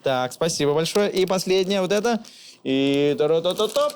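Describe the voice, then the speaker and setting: A man's voice: high voice, animated, normal speed. A man hosting a casual interview, inquisitive and often echoing answers back as questions. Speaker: interviewer, travel show host. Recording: noticeable background noise.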